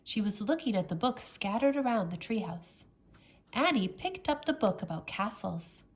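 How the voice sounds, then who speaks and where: A woman reading a children's storybook aloud as a teacher, with expressive, dramatic narration. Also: medium pitch, normal speed.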